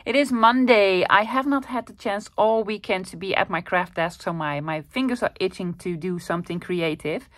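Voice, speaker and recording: A Dutch woman hosting a casual craft-with-me video, rambling cheerfully with fillers and soft laughs as she shows her supplies. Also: medium pitch, normal speed, hardly any pauses, no echo. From Netherlands. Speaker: craft channel host.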